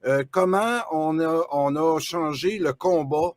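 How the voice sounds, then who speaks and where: A man's voice: a little high, slow, no echo. A man with a Quebec French accent hosting a live online interview, asking questions conversationally with stumbling repetitions over a video call. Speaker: host.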